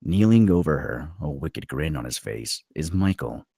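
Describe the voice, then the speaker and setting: A man narrating a screenplay at a table read, with a gravelly, husky voice. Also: a bass voice, big pitch swings, normal speed, mellow, dry recording, studio quality.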